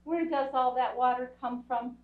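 A woman's voice: sits high, fairly even intonation, normal speed, natural voice. A woman playing a talkative, nagging, unbothered wife in old-time radio comedy. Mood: chatty. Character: chattering, unbothered wife of a crabby husband. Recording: roomy stage recording, distant muffled mic.

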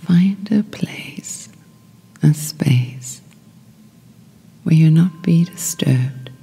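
A woman guiding a sleep meditation in a hushed, breathy, often whispery voice, soothing, in short drawn-out phrases with long pauses. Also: low voice, expressive. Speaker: guided meditation host. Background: meditation music with singing bowl tones.

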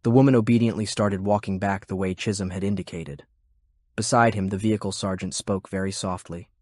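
A man's voice: sits low, fairly even intonation, fairly quick, a little mellow, no room sound, studio quality. A man reading scripted fiction as an audiobook narrator.

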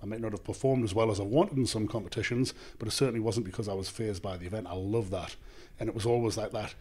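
A man in his thirties with a Northern English accent, a gym owner and author answering podcast questions in a measured, confident way, speaking into a handheld mic in a small office studio. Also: lowish voice, fairly even intonation, a little mellow, slightly rough. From England. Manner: measured, confident, explains in lists. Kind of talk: casual interview answers. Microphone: handheld microphone.

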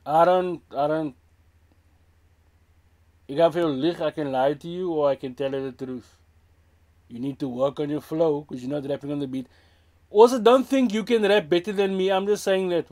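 Blunt Cape Town man, slightly high voice. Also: normal speed, pauses often, darkish tone. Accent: Cape Town South African. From South Africa. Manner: blunt, frank, mixes in Afrikaans phrases. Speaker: music reaction host. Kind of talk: casual reaction commentary. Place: small indoor room with a brick wall.

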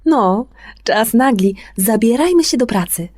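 A woman voicing a kindly, reassuring good fairy godmother for a children's fairy tale, brisk, warm and emphatic. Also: a little high, animated, voice pushed up. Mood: brisk, cheerful.

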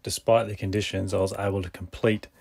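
A man's voice: fairly low pitch, flat delivery, quick pace, creaky. A man, narrating his sailing vlog in a matter-of-fact, tired and wry way, filmed close up on a handheld camera aboard a boat in a marina. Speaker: sailing vlogger. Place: on a sailboat in a marina, outdoors. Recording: outdoor wind and ambient noise.

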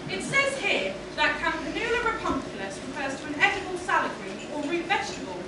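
A smug, sarcastic pantomime storyteller, acted by a woman, narrating with pompous theatricality. The very high voice swings widely, recorded from far off in a noisy theatre. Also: sing-song, fairly quick, heavy reverb. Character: smug, snooty pantomime storyteller reading from a book. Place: stage in a small theatre. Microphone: distant camera.

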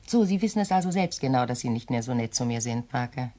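A woman's voice: extremely low pitch, animated, fast, dark tone. An actress playing a composed, touchy widowed lady of the house in a crime radio play, genteel and evasive. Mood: plaintive.

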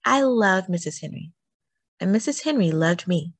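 Woman reading aloud, with lively, swooping intonation. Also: fairly low pitch, moderate pace, no room sound. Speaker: children's book reader. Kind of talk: read-aloud storytelling for children.

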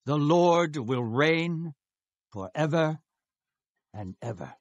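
A fervent Israelite worshipper in an audio scripture drama. His voice sits fairly high for a man and moves very slowly, solemn and declamatory. The sound is dry and close. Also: lively intonation, no room sound, lo-fi sound. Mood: solemn praise. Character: devout Israelite man singing praise to God, fervent.

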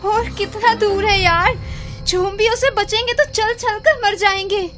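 A woman's voice: very high-pitched, measured pace, a little hiss or hum. A woman voicing a young cartoon heroine who gives urgent orders while fleeing zombies. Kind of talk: Hindi cartoon dubbing. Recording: voice over loud music.

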